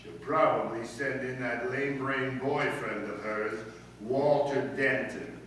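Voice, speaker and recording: A man playing a stern, pompous, middle-aged high school principal with formal diction in old-time radio comedy style. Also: fairly even intonation, unhurried, a bit bright, lightly acted. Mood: exasperated. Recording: echoey room from far off, faint noise.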